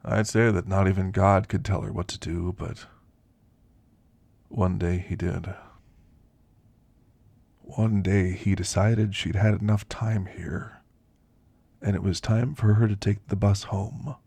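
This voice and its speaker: A man playing an elderly, ailing werewolf in an ASMR roleplay, gentle and reflective, reminiscing in a soft, deliberate, gravelly voice. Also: low voice, fairly even intonation, no echo, full character voice. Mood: wistful, tender. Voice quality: gravelly, husky.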